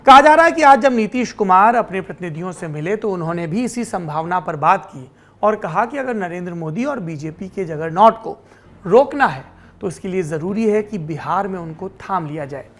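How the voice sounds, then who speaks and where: A woman presenting explanatory political news commentary as a news presenter. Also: medium pitch.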